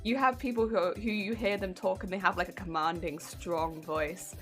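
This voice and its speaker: A woman's voice: lowish voice, fairly even intonation, normal speed, soft background music. A woman streamer chatting casually while she crafts, with a weak, warbly voice that is a little congested from hay fever, chatty and giggly as she greets her viewers. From United Kingdom. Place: home craft room.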